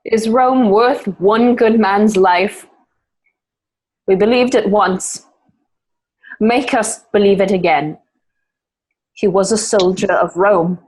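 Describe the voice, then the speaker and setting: A breathy, slow-spoken Roman noblewoman, acted by a woman in a radio drama. She is dignified in her grief, measuring each solemn phrase. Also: mid-range voice, a little mellow, rough recording. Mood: grieving, resolute. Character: grieving, dignified Roman noblewoman addressing a crowd. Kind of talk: amateur radio play acting.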